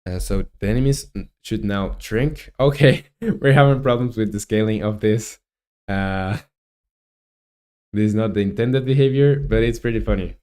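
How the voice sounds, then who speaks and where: A man in his twenties with a Chilean Spanish accent, talking through his coding on a live stream, halting and thinking aloud with many fillers. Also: fairly low pitch, dark tone, clear, resonant tone. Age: 20s. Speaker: game developer streamer.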